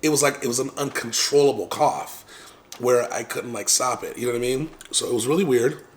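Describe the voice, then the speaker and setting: A man casually telling viewers a long personal story, rambling with many fillers and restarts and clearing his throat now and then. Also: mid-range voice, a little fast, slight background noise. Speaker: vlogger.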